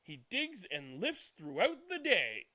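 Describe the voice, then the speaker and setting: A man narrating a children's bedtime storybook in an expressive, deliberate way. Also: high-pitched. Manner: animated, deliberate reading with hushed good nights. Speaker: read-aloud channel host.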